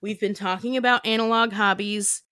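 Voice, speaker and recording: A woman's voice: medium pitch, steady tone, moderate pace, sharp tone, clean tone, no room sound, clean studio sound. A woman podcast host chatting casually and self-deprecatingly, often laughing. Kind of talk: casual chat.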